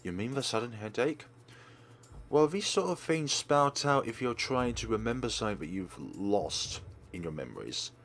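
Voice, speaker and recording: A man's voice: mid-range voice, big pitch swings, normal speed, fairly bright tone. A man hosting a let's play, reading a visual novel's text aloud and dropping casual asides between the lines. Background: faint game music.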